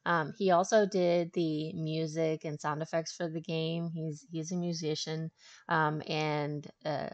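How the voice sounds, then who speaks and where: Woman, deep-pitched for a female voice, casual gaming commentary, halting mid-sentence. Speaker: walkthrough host. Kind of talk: casual game walkthrough commentary.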